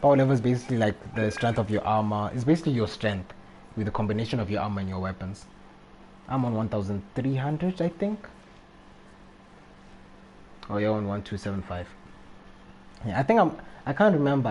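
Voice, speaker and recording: Mellow low-voiced man streaming a game. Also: expressive, fast talker, slight background noise, poor audio. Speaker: live streamer. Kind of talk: casual gaming livestream chat.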